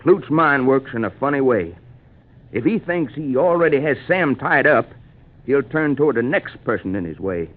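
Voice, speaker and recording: A man playing a dry, shrewd country fellow in a vintage radio play. He speaks matter-of-factly at a medium pitch on a thin, tinny 1940s recording. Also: animated, normal speed, close to an everyday voice. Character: shrewd, unhurried country man, drily reasoning.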